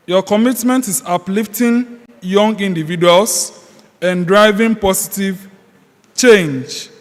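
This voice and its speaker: High-voiced Ghanaian man in a reverberant hall. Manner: halting, with fillers and restarts. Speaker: youth parliament organizer. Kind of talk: ceremonial remarks and citation reading. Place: large hall. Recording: hall reverb, faint room noise.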